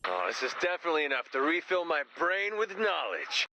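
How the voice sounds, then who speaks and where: A man's voice: fairly high pitch, very animated, a little fast, pushed and bright, a little hiss or hum, full character voice. A man voicing a spacey, laid-back rock musician with a rambling, goofy manner in a video game. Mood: spacey, cheerful. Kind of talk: video game character dialogue.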